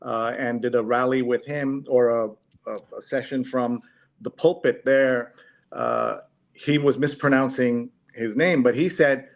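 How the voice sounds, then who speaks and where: A man's voice: medium pitch, lively intonation, measured pace, gravelly, lo-fi sound. A man hosting a live discussion show, talking casually to his audience.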